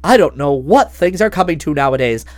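A woman's voice: lively intonation, fairly quick, darkish tone, no room sound. A woman doing an outraged, indignant wife. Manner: shrill, outraged exclamations.

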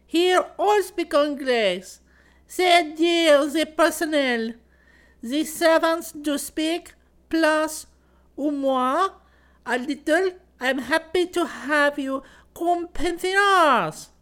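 A man's voice: very high-pitched, takes it slowly, fairly bright tone, pitched above the performer's own voice, heavily acted. A man voicing a stout, effusive French landlady of a pension, speaking broken English with a French accent in a storybook reading. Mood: gushing, eager.

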